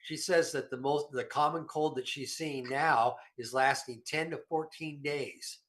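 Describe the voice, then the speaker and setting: A man's voice: a little high, steady tone, a little slow, fairly bright tone. A middle-aged man with a slightly nasal voice from a cold, talking casually and with self-deprecating humor about health supplements as a show regular. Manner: rambling, folksy, self-deprecating.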